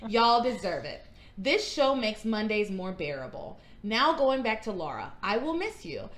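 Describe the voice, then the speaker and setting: A woman's voice: sing-song, unhurried, fairly bright tone. A woman co-hosting a podcast, chatting casually and reading listener emails aloud. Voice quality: high singing range. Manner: reads emails aloud, breaks into giggles. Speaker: podcast co-host.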